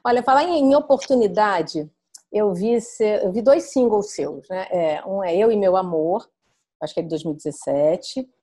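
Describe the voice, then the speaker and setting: Woman, radio host, mid pitch, friendly, quick questions, conversational. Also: dark tone, husky. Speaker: radio interviewer. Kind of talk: conversational interview.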